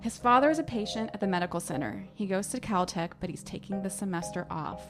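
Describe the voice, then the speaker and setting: A woman's voice: medium pitch, animated, quick pace, over music, voice pushed down, close to an everyday voice. A woman playing a defensive, evasive teenage girl who gives curt answers in a dramatic book reading. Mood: defensive, explaining.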